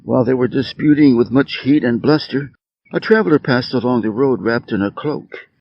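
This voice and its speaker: Guy narrating fables for english learners, measured and scripted, average pitch, kinda lo-fi dry audio. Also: vocal fry, husky, no echo. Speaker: story reader.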